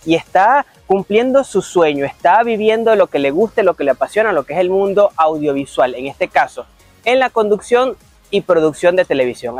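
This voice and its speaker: A man's voice: sits high, a little mellow, no room sound. A man presenting and interviewing as the host of a television magazine show.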